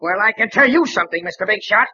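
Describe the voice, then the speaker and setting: Scolding American team manager, very high piercing voice, raspy, old radio drama. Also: male voice, animated, fast, sharp tone, exaggerated voice. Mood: furious, sarcastic. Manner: blustering, scolding, sarcastic. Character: fuming, sarcastic little male baseball team manager. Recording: dull, lo-fi old radio recording.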